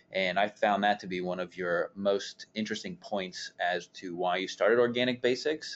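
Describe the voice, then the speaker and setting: Very low-voiced male podcast host. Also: lo-fi sound. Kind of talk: conversational podcast interview.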